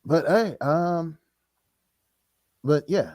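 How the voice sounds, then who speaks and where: A man's voice: a little high, lively intonation, drawn-out words, darkish tone, dry recording, studio quality. A man co-hosting a podcast, chatting casually in a slangy, profane, joking way. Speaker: podcast co-host. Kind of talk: casual chat.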